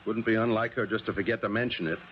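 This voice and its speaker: An actor playing a courteous, mildly wary middle-aged father in a 1970s radio drama, speaking in a measured, reserved way. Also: lowish voice, fairly even intonation, close to an everyday voice. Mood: courteous, surprised. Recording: muffled, noisy old radio recording.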